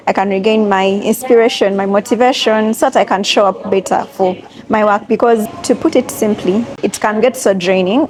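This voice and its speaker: A woman's voice: medium pitch, darkish tone, slight background noise. Chatty young woman vlogging. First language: Luganda. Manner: chatty, reflective, speaks straight to viewers. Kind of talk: casual travel vlog chat. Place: cafe and airport, indoors.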